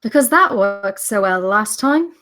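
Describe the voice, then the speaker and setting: A woman's voice: medium pitch, animated, unhurried, pushed and bright. A woman playing a young female companion, wistful and dryly skeptical, at a script table read. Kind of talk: table-read character acting.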